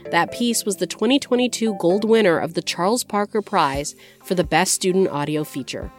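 Casting: female podcast presenter, lower-pitched voice, scripted radio delivery over faint music. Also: moderate pace. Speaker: podcast host.

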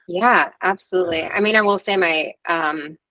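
Female interview guest; lower voice, dry close recording, reflective and unpolished. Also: normal speed, bright, forceful tone, dry recording, poor audio. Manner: reflective and candid, with frequent "um" and restarts. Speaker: guest, PR agency founder. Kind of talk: candid interview conversation.

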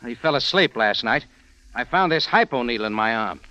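An actor playing a tough, wisecracking American private eye in 1940s radio detective style, sardonic and slangy, both in dialogue and narration. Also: male voice, medium pitch, animated, fairly quick, pushed and bright, dry recording. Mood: indignant, sardonic. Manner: hard-boiled, sardonic, slangy. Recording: muffled old radio drama, music behind.

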